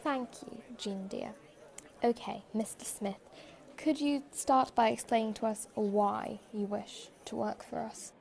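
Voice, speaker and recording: A woman's voice: fairly high pitch, fairly even intonation, measured pace, vocal fry, a little mellow. A woman playing a stern, exasperated department store boss in a fan audio drama, formal and condescending.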